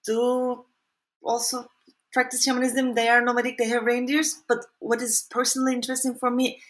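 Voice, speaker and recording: A woman whose first language is Turkish talks through her answers as an interview guest. She pauses to find her words and speaks in a reflective, conversational way. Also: a little high, level tone. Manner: thoughtful, searching for words, occasional laughs. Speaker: author and anthropologist guest.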